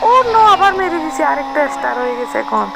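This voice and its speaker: A woman's voice: sits high, expressive, fast, gravelly, loud music behind the voice. A woman giving casual video game commentary, narrating each of her actions in short, repetitive phrases. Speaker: gamer presenting a gameplay video. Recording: noisy recording with vehicle and siren sounds.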